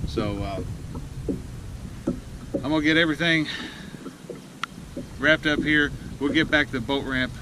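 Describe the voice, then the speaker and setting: A man, out of breath and excited, chatting folksily to the camera from a small boat on a lake as host of a fishing vlog. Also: medium pitch. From Illinois, USA. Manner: folksy and excited, rambling with many fillers. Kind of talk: casual outdoor vlog talk. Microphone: action camera mounted in the boat. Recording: loud wind and water noise outdoors.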